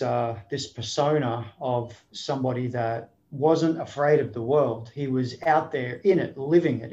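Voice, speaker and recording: A man's voice: medium pitch, mellow, dull audio. A middle-aged man with an Australian accent, telling his life story as a podcast guest in a thoughtful, searching way with many restarts. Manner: thoughtful, frequent restarts and 'you know'. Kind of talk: reflective storytelling in conversation.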